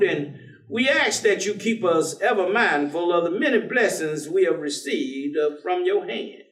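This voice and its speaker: Devout, stubborn, teasing folksy man, played by a male stage actor; high voice with big swings, heard across a roomy theatre. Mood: prayerful. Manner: folksy, colloquial, drawn-out delivery. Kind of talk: staged reading of a play. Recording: roomy theatre, distant microphone.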